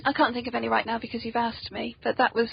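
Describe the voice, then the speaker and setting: A woman's voice: on the high side, flat delivery, quick pace, gravelly, breathy edge. A woman hosting a casual, playful interview chat, talking in a self-deprecating way. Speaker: podcast host and author. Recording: dull lo-fi podcast audio.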